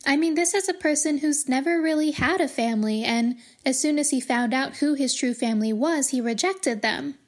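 A woman with a distinctly high-pitched voice hosts an analytical podcast solo. She explains her points in a structured way and slips in wry, casual asides. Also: moderate pace, few pauses. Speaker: podcast host. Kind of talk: literary analysis podcast monologue.

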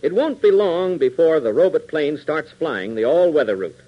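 A dramatic American male announcer reads scripted serial narration in punchy 1940s style. His mid-range voice is husky and swings in pitch, on a dull, old radio recording. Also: expressive, normal speed.

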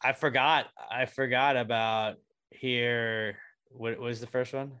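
A man's voice: mid-range voice, slow pace, clean tone. A man, hosting a casual podcast chat and joking around. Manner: joking, animated, laughs often. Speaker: podcast host.

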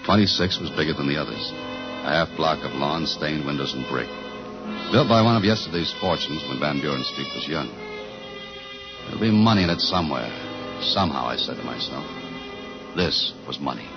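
An actor playing a tough, world-weary police detective in a 1950s radio drama, clipped and deadpan. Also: male voice, very deep voice, normal speed, pauses often. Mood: brooding narration. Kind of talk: 1950s radio drama, hard-boiled narration. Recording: dull old 1950s radio recording, music.

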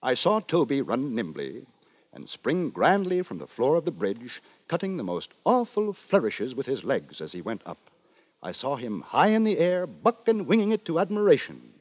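A man playing a pompous, wry gentleman narrator in a 1950s radio drama, telling his tale with ornate, mock-solemn deliberation. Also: mid-range voice, huge pitch swings, normal speed, no room sound, low-quality recording. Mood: wry, astonished. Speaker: narrator and lead actor. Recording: dry 1950s radio with music.

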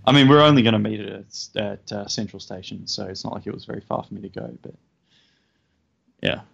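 A man co-hosting a casual podcast, talking hesitantly with many fillers and a self-deprecating manner, feeling low on energy. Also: brisk, vocal fry, husky. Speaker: podcast co-host. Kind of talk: casual chat. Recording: dull lo-fi sound.

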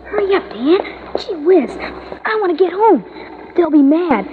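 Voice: extremely high pitch, very animated, unhurried, heavily acted. A performer playing an impatient, plaintive young boy with nagging, boyish slang in a 1950s radio drama. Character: impatient, anxious young boy, practical and whiny. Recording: tinny noisy 1950s radio recording.

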